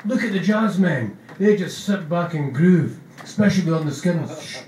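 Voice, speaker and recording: A man's voice: a little high, expressive, moderate pace, a little mellow, a little hiss or hum, close to an everyday voice. A man voicing an eager, chatty young male drummer with a Scottish accent, rambling earnestly. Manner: earnest, rambling rock trivia. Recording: roomy, distant mic.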